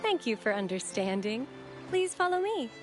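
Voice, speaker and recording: A young woman voicing a gentle, devout, polite member of a holy order in a video game, speaking in a courteous, formal way. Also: on the high side, very animated, normal speed. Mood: polite, reverent. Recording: game dialogue over clear background music.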